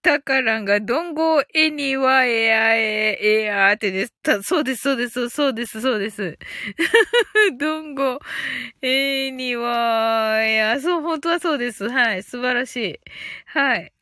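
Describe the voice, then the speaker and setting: A woman's voice: fairly high pitch, animated, moderate pace, pushed and bright, no echo, clean studio sound. A woman hosting a casual live audio stream, chatting playfully with listeners, giggly and often repeating phrases with amusement. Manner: giggly, breaks into frequent laughter.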